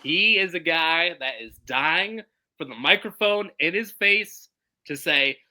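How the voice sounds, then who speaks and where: Bright, high-voiced emphatic man. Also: a little slow. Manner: emphatic, repeats himself, swears. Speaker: podcast co-host. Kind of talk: casual betting chat.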